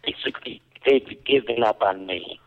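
A man putting on a raspy, muddled woman's voice as a prank caller, rambling and hesitant in a dull lo-fi phone recording. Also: mid-range voice, normal speed, natural voice. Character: confused raspy female prank caller claiming to be a young woman.

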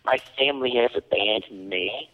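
A man putting on a raspy, muddled woman's voice as a prank caller, rambling and hesitant in a dull lo-fi phone recording. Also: mid-range voice, moderate pace, lightly acted. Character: confused raspy female prank caller claiming to be a young woman.

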